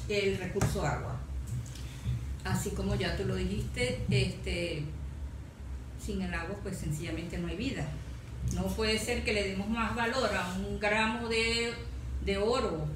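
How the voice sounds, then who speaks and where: A woman talks informally as a radio guest, her voice at an ordinary pitch. She sounds off the microphone in a very echoey room, with clear noise around her. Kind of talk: informal spoken radio commentary. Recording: echoey, distant mic, noisy background.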